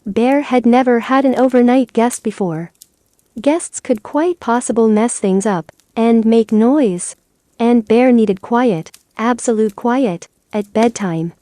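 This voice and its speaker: A woman reading a children's bedtime story aloud in a measured storytelling manner. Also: on the high side, dark tone, no echo. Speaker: storybook reader.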